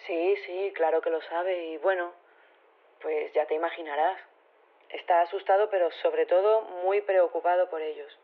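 Earnest concerned campaigner on a tinny phone call, chatty radio drama interview. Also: female voice, on the low side, steady tone, moderate pace. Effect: phone filter. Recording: thin narrow phone line.

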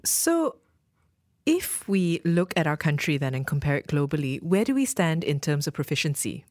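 A woman from Malaysia hosting a radio interview, asking brisk, direct questions. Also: sits low, sing-song, a little mellow, no echo, studio quality. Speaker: radio host.

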